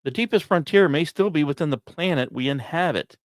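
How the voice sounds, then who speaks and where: A man hosting a podcast, reading scripted science narration in a measured, explanatory way, recorded close. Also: fairly high pitch, dry recording. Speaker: podcast host.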